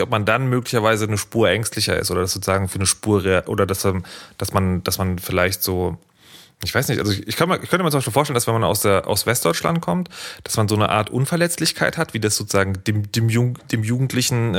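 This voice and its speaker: Low-voiced hesitant German man. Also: fairly bright tone. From former East Germany. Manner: hesitant, many fillers and restarts, thoughtful. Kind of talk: casual discussion.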